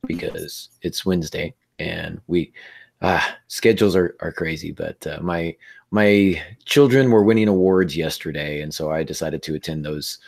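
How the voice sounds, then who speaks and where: A man hosting a writing podcast in a casual, halting way with many fillers, his voice worn down by laryngitis. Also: low voice, fairly even intonation, gravelly, darkish tone. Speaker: podcast host. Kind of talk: casual podcast chat and teaching.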